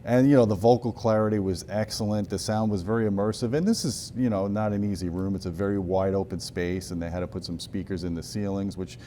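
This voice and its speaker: Mellow-voiced man interviewing at a hotel demo. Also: on the low side, quick pace, hardly any pauses, a little hiss or hum. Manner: casual, upbeat questions. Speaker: interviewer, show host. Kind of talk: trade show interview. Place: large hotel demo room, indoors.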